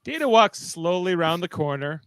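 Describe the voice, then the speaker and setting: A man's voice: high voice, sing-song, normal speed, a bit bright, clear, resonant tone, dry recording. A man narrating a radio-theatre script, reading out stage directions.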